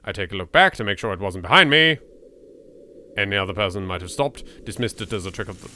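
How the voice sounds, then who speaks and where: A man's voice: fairly low pitch, animated, fast, pushed and bright, slight background noise. A man with an English accent doing let's play commentary, reading game text dramatically and adding sweary, jittery, sardonic asides. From England. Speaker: video game let's player.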